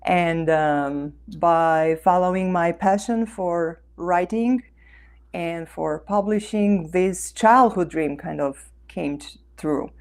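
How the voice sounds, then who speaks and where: Female interview guest from Romania; low-leaning, gravelly voice, earnest and effusive delivery. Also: slow pace, a little mellow. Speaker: interview guest, author and life coach. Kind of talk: conversational interview answers.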